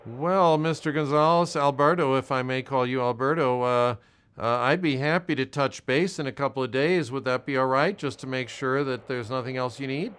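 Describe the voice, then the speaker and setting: An American man speaking as a volunteer caller, courteous and measured, with hesitant pauses as he talks. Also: medium pitch, a bit bright, smooth. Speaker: volunteer caller doing a welfare check. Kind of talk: gentle check-in questions and spoken case notes.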